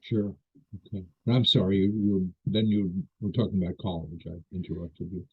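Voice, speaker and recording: Older man, husky, phone-line sound. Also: low voice, level tone, moderate pace. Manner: polite, hesitant, apologetic, restarts phrases. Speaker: interviewer. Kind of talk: interview questions.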